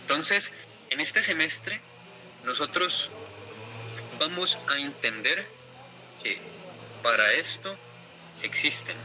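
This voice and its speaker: A man giving a university law lecture, speaking slowly and deliberately, pausing between phrases. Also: a little high, animated, sharp tone, low-quality recording.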